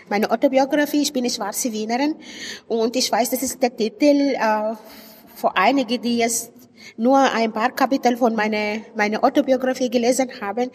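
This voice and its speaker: A woman with a fairly high voice and an Arabic accent speaks as a native Arabic speaker from Sudan. She answers interview questions reflectively, searching for words and stressing them. The sound carries long hall reverb and some background noise. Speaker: interviewed writer and poet.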